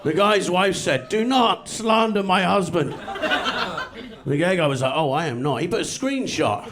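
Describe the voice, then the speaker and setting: A man performing stand-up on a comedy stage, telling a quick story and dropping into mock voices for the people he quotes. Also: high voice, animated, a crowd in the background. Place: comedy stage before a laughing crowd. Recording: loud noise, audience laughter.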